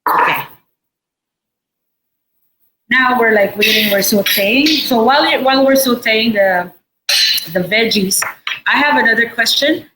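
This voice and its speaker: A woman chef with a Filipino accent giving a casual cooking demonstration in an informal, halting, explanatory way. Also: on the high side. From Philippines. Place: indoor restaurant space behind a counter. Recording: noticeable background noise and room ambience.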